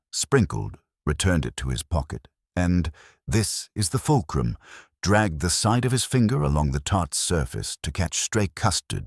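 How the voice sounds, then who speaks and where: Male narrator for first-person mystery fiction: extremely deep register, lively intonation. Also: husky, dry recording, clean studio sound. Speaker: audiobook narrator. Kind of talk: scripted first-person detective story narration.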